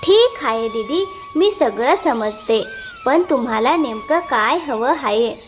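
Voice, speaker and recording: A woman playing a gentle, selfless younger married village sister in an animated moral story, earnest and respectful. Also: fairly high pitch, takes it slowly, soft background music. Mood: kind, earnest.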